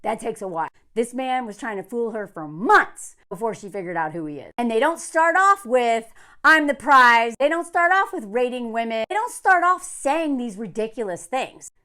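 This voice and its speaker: An American woman from the South talking to camera in a casual, emphatic rant, sardonic and quick to find things funny. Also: on the high side, animated, bright, forceful tone. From southern United States. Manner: emphatic, sardonic, laughing often. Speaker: online content creator.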